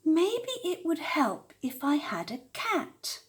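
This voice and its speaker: Woman playing a slow-speaking, eager little witch girl. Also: sits high, voice pushed up, full character voice. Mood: hopeful, musing. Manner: deliberate, expressive storybook delivery.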